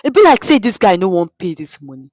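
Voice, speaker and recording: A woman's voice: mid-range voice, sing-song, fast, darkish tone, no echo, clean studio sound. A woman voicing a menacing male street thug in a story, barking threats with a Nigerian accent.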